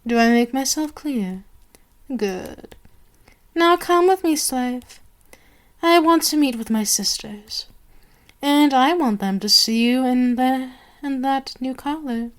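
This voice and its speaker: A haughty vampire queen, acted out by a woman, addresses the listener in an ASMR roleplay. Her voice sits fairly high and moves very slowly. She is deliberate, commanding and condescending, as a mistress to her servant. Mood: commanding, possessive. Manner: slow, imperious, deliberate, condescending.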